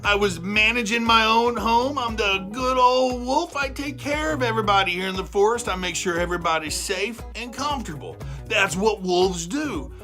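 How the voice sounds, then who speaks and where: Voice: mid-range voice, animated, normal speed, few pauses, sharp tone, faint music behind. A storyteller voicing an aggrieved, self-justifying big bad wolf who tells his side of a children's fairy tale with exasperated, emphatic repetition. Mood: aggrieved, explaining. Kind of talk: animated first-person storytelling for children.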